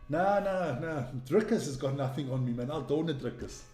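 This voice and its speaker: A man's voice: on the high side, normal speed, a little mellow, roomy sound, soft background music. A man with a South African English accent hosting a casual live stream, rambling through sports stats and reading out viewer comments. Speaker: livestream host.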